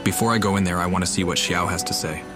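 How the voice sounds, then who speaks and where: A man's voice: low voice, fast talker, music in the background. A man giving casual commentary as he plays through a video game. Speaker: gamer commenting on a playthrough.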